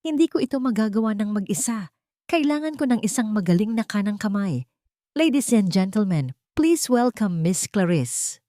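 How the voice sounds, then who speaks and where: A woman's voice: mid-range voice, very animated, moderate pace, dark tone, dry recording, voice pushed up, lightly acted. A woman narrator plays a self-satisfied Filipino tycoon in an audiobook drama. He speaks proudly and declaims as if from a stage. The recording is dry. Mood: proud, showy. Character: boastful, self-satisfied successful businessman giving a speech.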